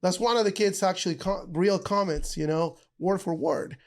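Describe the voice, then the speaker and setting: Podcast guest, male, from Ecuador. High, lively voice, casual storyteller. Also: moderate pace. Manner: animated storyteller, says bro and dude a lot.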